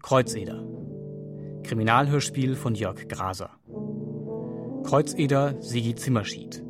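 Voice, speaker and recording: Casting: male announcer, mid-pitched, reading radio play credits over guitar music. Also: measured pace.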